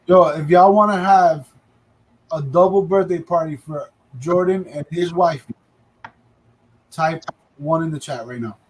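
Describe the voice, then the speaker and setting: A man in his thirties chats and jokes on a casual livestream, his voice sitting a little high. He talks in quick, loud bursts. A faint hum of noise runs under him. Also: rough recording. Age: 30s. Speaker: livestream co-host.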